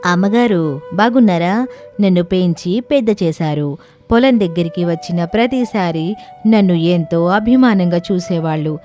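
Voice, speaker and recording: A magic talking coconut played by a woman from a cartoon fairy story. It speaks kindly and respectfully in a fairly low feminine voice. Music plays behind it. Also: moderate pace, warm tone, natural voice. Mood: kindly, sympathetic. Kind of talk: acted dialogue in animated fantasy story. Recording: music under the voice.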